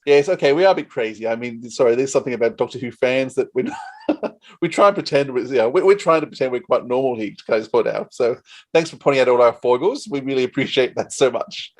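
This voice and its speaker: A man's voice: medium pitch, quick pace. Effusive Australian man hosting a casual chat. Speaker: podcast host.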